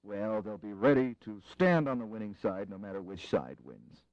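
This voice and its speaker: A man's voice: lowish voice, lively intonation, a little mellow. An actor playing an earnest, diplomatic delegate in his forties, speaking formally in an audio drama. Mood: good-humoured. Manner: formal, measured wording.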